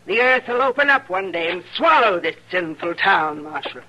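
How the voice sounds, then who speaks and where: A stern homesteader woman in a radio western, speaking with a rural Western American accent. She is curt, suspicious and sanctimonious, with a bright, lively-pitched voice over a faintly noisy old recording. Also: moderate pace. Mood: sternly pious. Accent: rural American West. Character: stern, suspicious, pious frontier homesteader wife.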